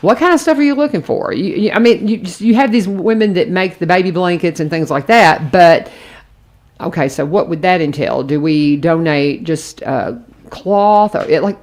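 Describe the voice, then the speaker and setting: A woman's voice: fairly low pitch, moderate pace. A woman hosting a radio interview, chatting in a rambling, friendly way with lots of restarts. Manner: chatty, warm-hearted rambling with many restarts. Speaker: radio show host. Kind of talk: casual radio interview chat.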